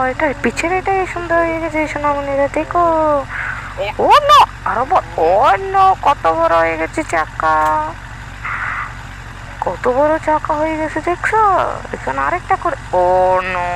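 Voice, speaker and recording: High, creaky-voiced woman chattering over a game. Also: background noise. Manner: childlike, repetitive, exclaiming patter. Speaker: gameplay video host. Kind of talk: casual game commentary for small children.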